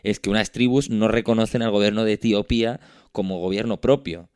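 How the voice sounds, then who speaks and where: A man with a low, smooth voice and a Castilian Spanish accent talks as a podcast host. His delivery is conversational and explanatory, dotted with tag questions. The sound is dry and close. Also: steady tone, brisk, darkish tone, no room sound. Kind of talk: conversational discussion.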